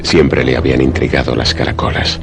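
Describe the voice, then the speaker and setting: A man narrating a radio suspense play in a solemn, deliberate, literary manner. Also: a bass voice, little pitch movement, creaky, over music.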